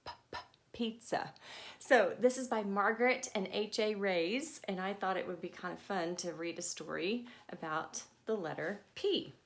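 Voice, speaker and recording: A woman storytime teacher reading a picture book to children, expressive and deliberate, with chatty asides and questions. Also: medium pitch, gravelly.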